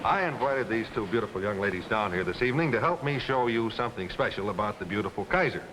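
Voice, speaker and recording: A man's voice: fairly low pitch, expressive, quick pace. A man delivering a folksy, chatty 1950s television car commercial as its announcer. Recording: noisy old 1950s television soundtrack.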